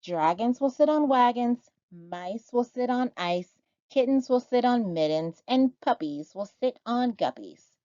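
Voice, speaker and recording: Bossy little storybook frog done by a lady, sing-song and smug, swoopy pitch, slightly dull recording. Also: animated, measured pace, warm tone, dry recording. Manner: commanding, matter-of-fact, sing-song rhyming.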